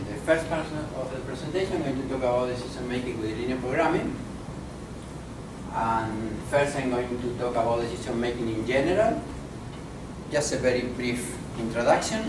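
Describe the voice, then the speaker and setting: Man, academic lecturer, deliberate and halting; distant, echoey, noisy room recording. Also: mid-range voice. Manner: deliberate, halting, many fillers. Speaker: visiting professor and speaker. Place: conference room.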